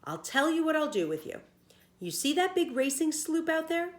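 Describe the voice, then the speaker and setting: A woman's voice: sits high, big pitch swings, moderate pace, pitched above the performer's own voice. A blunt, passionate man who sails a toy schooner, acted out by a woman reader. He is a storybook figure, forthright and emphatic in his talk. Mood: measured.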